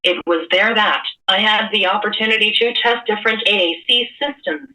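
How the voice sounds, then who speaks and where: A woman's voice coming from a speech device, with even, measured, prepared delivery. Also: mid-range voice, distant mic, poor audio. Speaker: guest speaking through a speech device. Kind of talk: synthesized speech from a communication device.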